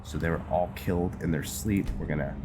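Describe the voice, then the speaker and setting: A man giving casual commentary on a game playthrough, thinking aloud and narrating his actions in a halting way. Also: very deep voice, background noise. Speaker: gaming video host. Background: loud game music.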